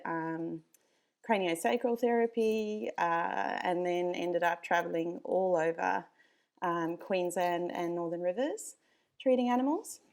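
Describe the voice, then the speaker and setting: A woman with a South African accent, a dog breeder chatting casually as a podcast guest, with frequent ums and easy laughs. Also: lowish voice.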